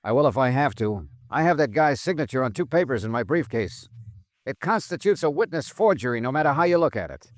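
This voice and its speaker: An actor playing a tough, sardonic insurance investigator with an American accent, brisk and assertive, in 1950s radio drama style. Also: male voice, mid-range voice, big pitch swings, no echo, clean studio sound, natural voice. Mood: firm, challenging. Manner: brisk, hard-boiled, assertive. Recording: restored old radio broadcast.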